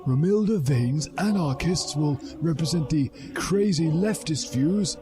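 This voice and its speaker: A man voicing a smug, patronizing old wizard headmaster in a drawn-out, condescending storybook voice. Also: a little high, animated, voice pushed up. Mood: falsely reasonable. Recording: music behind the voice.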